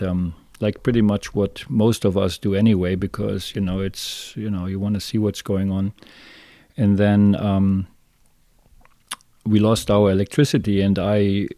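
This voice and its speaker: A man from Germany with a southern Bavarian accent, a guest on a podcast telling his story in a halting way, with many fillers and restarts. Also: low-pitched, dark tone, no room sound. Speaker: guest, fishing guide. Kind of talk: casual interview storytelling.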